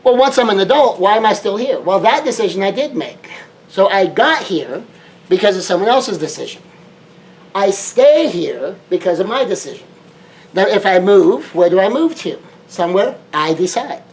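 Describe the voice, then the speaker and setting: A man's voice: sits high, big pitch swings, normal speed, poor audio. A man from Southern California, a life coach, giving a motivational talk with repetitive, emphatic phrasing and shifting tempo.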